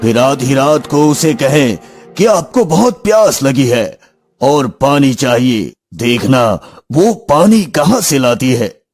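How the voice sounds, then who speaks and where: Man acting a slow, solemn sage healer. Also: medium pitch, big pitch swings, darkish tone, faint music behind, heavily acted. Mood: instructing. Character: wise sage healer, grave and commanding. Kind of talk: Hindi fairy tale cartoon dubbing.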